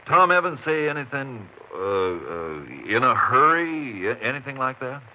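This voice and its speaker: An American man playing a steady, friendly Texas Ranger in a 1950s western radio drama, speaking in folksy, clipped phrases. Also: mid-range voice, measured pace. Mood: businesslike. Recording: muffled, noisy 1950s radio recording.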